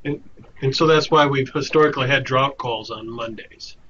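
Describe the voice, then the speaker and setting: A man's voice: medium pitch, normal speed, pushed and bright. A man explaining things informally on a work call, often repeating words as he goes. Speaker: extension staff member. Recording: dull conference-call audio, faint noise.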